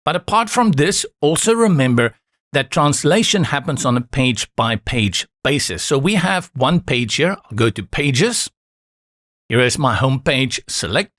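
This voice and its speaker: A man whose first language is Afrikaans, calmly guiding viewers step by step through a software setup in a screen-recorded tutorial. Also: medium pitch, a little slow, no room sound, clean studio sound. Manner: calm, instructive, reassuring asides.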